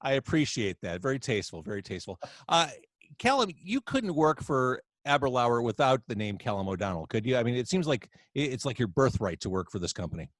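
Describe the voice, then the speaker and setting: A man's voice: mid-range voice, a little fast, no echo. A man hosting a casual video chat podcast from home, with a jokey, playful manner. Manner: lively, jokey. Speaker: podcast host. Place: at home in quarantine. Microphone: video call.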